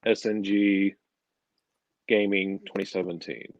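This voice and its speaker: A man playing a dry, deadpan comic-book villain scientist as an in-character guest on a livestream. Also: low-pitched, takes it slowly, no room sound, dull audio. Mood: wry. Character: Doctor Hugo Strange, male comic-book villain scientist as a podcast guest. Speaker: guest columnist.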